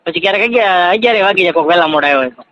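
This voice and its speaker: A man's voice: high-pitched, animated, racing, slight background noise. A man with a Saurashtra Gujarati accent explaining things informally and repetitively in a casual phone chat. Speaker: seasonal event catering worker. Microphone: phone call. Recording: dull lo-fi phone call recording.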